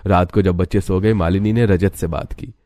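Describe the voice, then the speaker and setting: A male narrator telling a dramatic scripted story. His voice is deep and dark, and soft music plays behind him. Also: steady tone, moderate pace.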